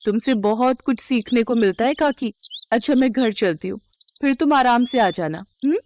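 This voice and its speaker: Woman doing a caring young seller girl in a kids story, higher voice, friendly n respectful. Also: normal speed, a little mellow, a little hiss or hum, natural voice.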